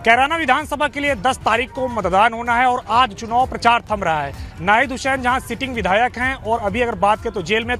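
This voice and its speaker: Male field reporter, very high forceful voice, election interviews outdoors, faint music behind.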